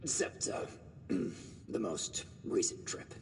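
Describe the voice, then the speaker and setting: An actor playing an easygoing caravan guard in a video game, a loyal underling with a casual, expressive delivery. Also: male voice, slow, big-room sound, far from the mic, a little hiss or hum, lightly acted. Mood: matter-of-fact. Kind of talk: video game character dialogue.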